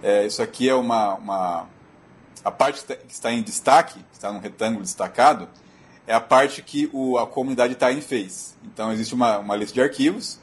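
Male technical speaker, Brazilian Portuguese accent, mid-pitched husky voice, explanatory and halting presentation delivery. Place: auditorium.

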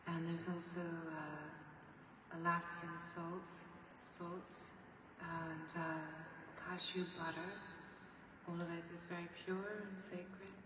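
Soft-spoken young woman, slow and flat. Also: on the low side. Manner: gentle, rambling, with drifts into soft whispers. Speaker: ASMR creator. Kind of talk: casual ASMR eating video. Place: bedroom, sitting on a bed. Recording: echoey room from far off, noisy.

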